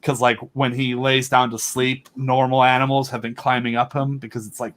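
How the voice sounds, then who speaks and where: A man's voice: medium pitch, flat delivery, moderate pace, fairly bright tone. Eager young man rambling about monsters. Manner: rambling, enthusiastic, filler-heavy. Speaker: podcast guest. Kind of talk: casual chat.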